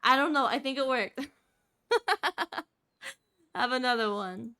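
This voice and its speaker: A woman's voice: fairly high pitch, measured pace, sharp tone, clean tone. A woman streamer chatting casually to her live audience in a bubbly, giggly way. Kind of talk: casual livestream chat.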